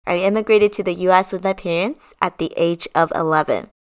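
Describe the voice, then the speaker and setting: A woman's voice: fairly low pitch, fairly quick, smooth. A woman in her thirties from China, co-hosting a podcast in a casual, upbeat, partly scripted chat. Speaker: podcast co-host.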